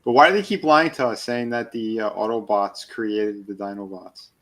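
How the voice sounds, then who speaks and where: A man's voice: fairly low pitch, normal speed, a bit bright. A man chatting casually as a panelist, often in short remarks and questions. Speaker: panelist on a toy show. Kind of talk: casual chat with short interjections.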